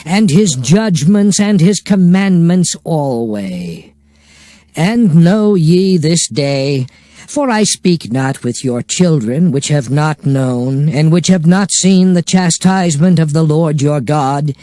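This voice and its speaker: A solemn, slow, high-voiced Moses. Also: male voice, mellow, no echo, clean studio sound. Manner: solemn, declamatory, measured phrasing. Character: Moses, solemn male prophet exhorting his people. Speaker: scripture narrator. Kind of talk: dramatized Bible reading in archaic English. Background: music under the voice.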